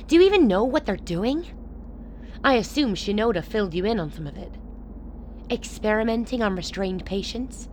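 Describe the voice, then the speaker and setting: A woman playing a hard-nosed female leader in a radio play. She is blunt, commanding and drily sardonic, at a middle pitch. A light layer of noise sits behind her. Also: expressive, moderate pace, darkish tone, natural voice, poor audio. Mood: bitter, challenging. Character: hard, pragmatic chairwoman ruling an enclave, sardonic and commanding. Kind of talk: radio drama acting.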